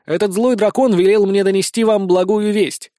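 A man's voice: high-pitched, fairly even intonation, moderate pace, dark tone, no room sound, studio quality, pitched above the performer's own voice, lightly acted. A man voicing an earnest, hapless soldier hero who pleads his case in a fantasy audiobook. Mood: formal. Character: earnest, hapless young soldier hero facing angry villagers.

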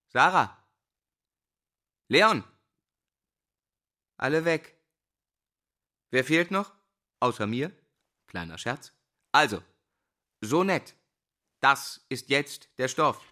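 A man's voice: mid-range voice, bright, forceful tone, full character voice. An actor playing an agitated, troubled young male teacher in a radio drama, declamatory and given to repeating words.

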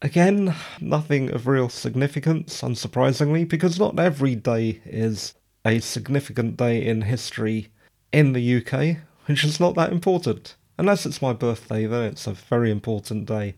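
A man with a slightly croaky voice, chatting casually and digressively as a podcast host. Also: mid-range voice, moderate pace, a little mellow. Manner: chatty, digressive, self-deprecating. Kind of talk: casual solo podcast talk.

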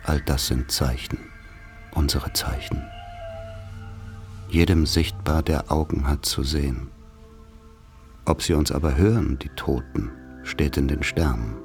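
An actor in a radio play playing a grave man who recalls a dark rural childhood, speaking in solemn, measured, poetic phrases with a husky voice. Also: rumbling low, music in the background. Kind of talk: literary radio play monologue.

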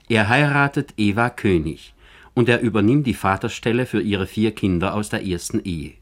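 Male narrator, somewhat low voice, formal, measured, literary radio script.

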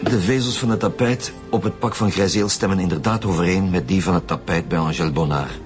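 A male actor playing a firm, methodical chief police inspector who questions in a measured, businesslike way in a radio crime drama. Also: sits low, music in the background, lightly acted. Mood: confident, pressing.

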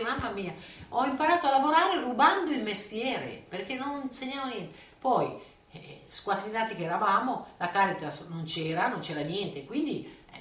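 An older woman reminisces in casual, expressive speech full of exclamation. Her pitch moves widely, and the sound is roomy and distant from the microphone. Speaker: witness giving testimony. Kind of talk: animated personal recollection, casual.

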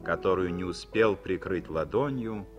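Male narrator for radio-play stage directions: low, slow, matter-of-fact, music bed underneath. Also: highs cut off. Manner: calm, low-key, matter-of-fact reading. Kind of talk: scripted narration. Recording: 1950s radio broadcast with music behind.

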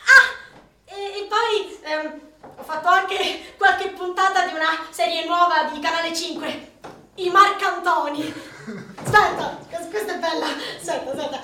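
Brief: woman as an ironic young aspiring actress, high and bright, some faint noise in the background. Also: a little slow, heavily acted. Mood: chatty ironic. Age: young adult. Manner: chatty, ironic, hesitant fillers, excited asides. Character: earnest young female struggling actress, ironic. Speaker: narrator and protagonist. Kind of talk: comic radio monologue. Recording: roomy, distant mic, faint noise.

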